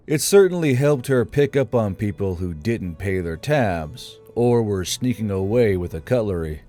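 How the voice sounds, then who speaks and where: A man's voice: lowish voice, warm tone. A man reading scripted narration for a fantasy audiobook as its narrator.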